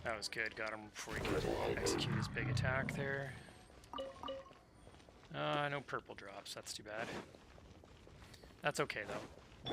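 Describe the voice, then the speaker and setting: A man's voice: medium pitch, gravelly, very noisy. A man giving casual gameplay commentary to viewers, talking through what he is doing. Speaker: game streamer. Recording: loud game music behind voice. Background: loud video game music.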